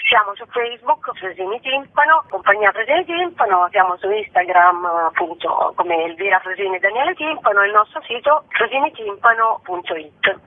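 A woman's voice: mid-range voice, no echo. Female theatre artist as interview guest, explanatory and unscripted, on a narrow telephone connection. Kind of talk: spontaneous interview talk. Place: phone call to a radio show. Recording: thin, tinny phone line.

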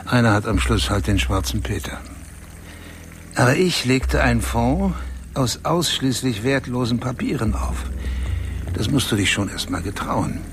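An actor playing a cold, cynical investment banker in a radio play, speaking in a matter-of-fact, sardonic way. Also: male voice, medium pitch, lively intonation, unhurried, slight background noise, natural voice.

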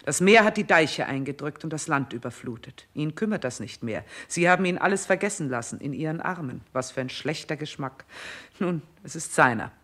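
A woman's voice: low-pitched, lively intonation, a little fast, fairly bright tone. An actress playing a stern, scornful older queen in a 1960s radio play, with speech and an imperious, declamatory delivery. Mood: commanding. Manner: imperious, biting, declamatory, emphatic enumerations.

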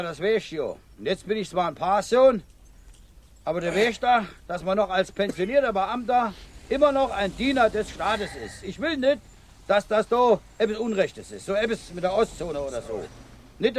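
Nervous retired official, older man, high-pitched and fretful. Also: expressive, moderate pace, a little hiss or hum. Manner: fretful, cautious, rambles about the war. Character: fussy, anxious retired male civil servant. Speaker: retired civil servant. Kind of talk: radio play acting.

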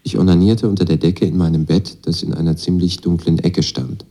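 A man's voice: low-pitched, fairly even intonation, brisk, mellow. An actor playing a disillusioned young pacifist student, telling his story in a laconic, matter-of-fact radio drama monologue. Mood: matter-of-fact, bitter. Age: young adult. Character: disillusioned young Moscow student, pacifist son of army father. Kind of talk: radio drama monologue, first-person testimony.

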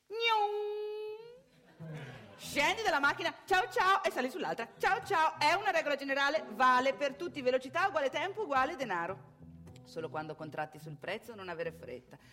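An actress playing a seasoned street prostitute who coaches a newcomer in an emphatic, blunt theatrical radio monologue. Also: female voice, sits high, animated, moderate pace, pushed and bright, soft background music. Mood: brisk, punchy. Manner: instructing, emphatic, blunt, second-person advice.

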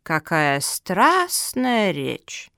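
A woman voicing a greedy, haughty old female turtle who drawls slowly and scornfully in a storybook reading. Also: lowish voice, huge pitch swings, vocal fry, sharp tone, clean tone, dry recording, clean studio sound, exaggerated voice. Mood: imperious, mocking.

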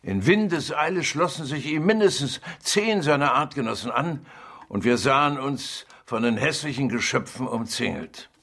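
An actor playing an urbane gentleman in a radio drama, relishing an adventure tale with measured, articulate, theatrical delivery. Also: mid-range voice, animated, creaky. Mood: relishing, suspenseful. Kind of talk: radio drama acting, dramatic reading aloud.

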